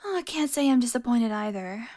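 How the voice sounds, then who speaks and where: A woman's voice: on the high side, expressive, brisk, warm tone, lightly acted. A woman playing a smug, flirtatious, predatory female vampire in an intimate audio roleplay, teasing and often dropping toward a whisper. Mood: taunting. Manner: taunting, sultry, drops into breathy whispers.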